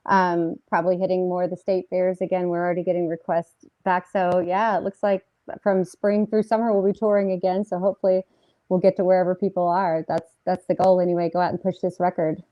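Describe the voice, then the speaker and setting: A woman's voice: lowish voice, level tone, fairly quick, dry recording, muffled recording. A woman singer chatting casually as a guest in an interview, upbeat and full of fillers.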